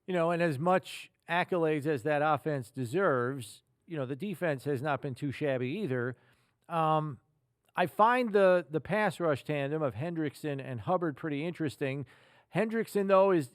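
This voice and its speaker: A man hosting a sports podcast, asking long, halting questions built up in pieces in a conversational way. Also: on the high side, clean tone, no echo, clean studio sound.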